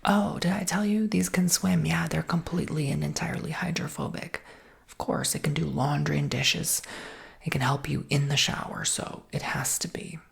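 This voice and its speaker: A woman whispering softly in an ASMR roleplay as a salesperson, with a breathy, creaky voice and reassuring customer-service patter. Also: sits low, fairly even intonation, normal speed.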